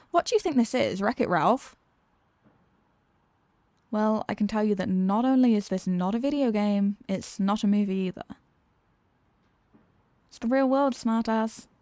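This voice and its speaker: A woman's voice: on the high side, expressive, moderate pace, long pauses, a little mellow, no room sound, close to an everyday voice. A woman playing an exasperated, sarcastic supervillain who is secretly weary of evil, in a theatrical comedic ASMR roleplay monologue.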